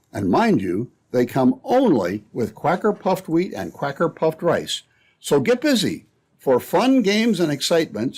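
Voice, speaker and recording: A man works as an old-time radio announcer, reading scripted copy. His voice is slightly high and husky, with very animated intonation. The delivery is that of a peppy salesman. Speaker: announcer and narrator.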